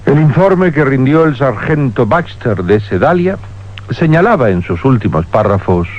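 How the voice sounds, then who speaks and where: A man's voice: medium pitch, very animated, normal speed. A man narrating a scripted radio drama in a dramatic storytelling style. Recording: dull radio recording under loud music.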